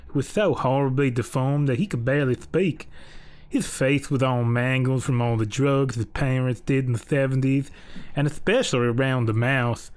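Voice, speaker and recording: A man's voice: medium pitch, lightly acted. A man playing a folksy, crude Southern US storyteller, telling a mock children's bedtime story with rambling, ungrammatical asides.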